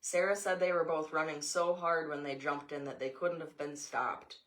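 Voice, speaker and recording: A woman's voice: low-pitched, steady tone, moderate pace, fairly bright tone. An American woman reading a story aloud, with casual asides along the way. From Montana, USA.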